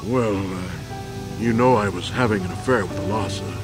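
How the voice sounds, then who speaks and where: An actor playing a proud, weary old war veteran accused of a crime, earnest and deliberate, in a video game. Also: male voice, fairly low pitch, over music, close to an everyday voice. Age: older.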